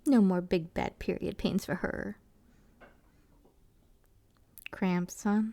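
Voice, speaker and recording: Woman acting a slow, creaky, doting best friend. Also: lowish voice, fairly even intonation, dark tone, no echo. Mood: tender, doting. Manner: affectionate, teasing, rambling, slangy. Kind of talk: intimate audio roleplay, casual one-sided chat.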